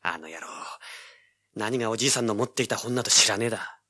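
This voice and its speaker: Cocky young male treasure hunter: hard-boiled, rough-spoken, forceful, on the low side, for audio drama. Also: fast talker. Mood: irritated. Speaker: lead actor and narrator. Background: dramatic music.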